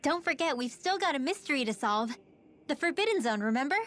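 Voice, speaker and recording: A woman's voice: high-pitched, lively intonation, a little fast, fairly bright tone, slight background noise. An actress playing an eager, anxious, helpful teenage girl mage in a dramatic video game. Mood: earnest.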